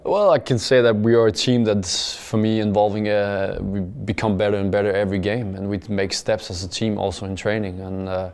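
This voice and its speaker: A young man with a low voice answers interview questions in careful English, his pitch staying level with little rise or fall. He stumbles over repeated words and fills gaps with "uh", and his sentences fade out quietly at the ends. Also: steady tone, clean tone. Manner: halting, repeats words often with many "uh" fillers; plain and measured, trails off softly at the ends of phrases. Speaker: football player. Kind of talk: post-match interview answers.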